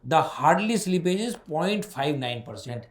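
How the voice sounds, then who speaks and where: A man's voice: on the high side, expressive, unhurried, reverberant. A man with an Indian accent answering questions as a bank executive on an earnings call, in an emphatic, repetitive way.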